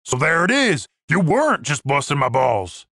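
Woman doing a husky, gruff, sulky big guy. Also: low-pitched, sing-song, normal speed, a bit bright, dry recording, studio quality, heavily acted. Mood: sullen, hurt. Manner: blunt, sullen. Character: big, dim, gruff, sulky male adventurer.

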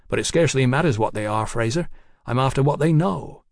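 A self-assured gentleman doctor from a storybook acted by a male narrator. He speaks formally and with pompous authority, as one used to giving orders and handing out money. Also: mid-range voice, expressive, brisk, creaky, dry recording, studio quality.

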